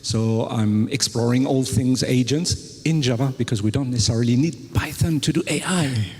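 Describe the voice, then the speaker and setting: A man introduces himself on a tech panel, speaking fluently and plainly in a warm, relaxed voice. His words echo with a long reverb through a large auditorium. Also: medium pitch, moderate pace, slightly rough. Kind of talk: conference self-introduction.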